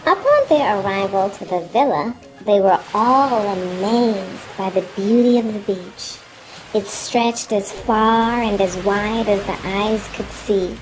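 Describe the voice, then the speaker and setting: A woman narrating a children's story in an expressive, deliberate, instructive way. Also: medium pitch, poor audio. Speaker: storyteller and reading teacher for children. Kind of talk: animated children's read-aloud narration. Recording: music playing behind. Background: music.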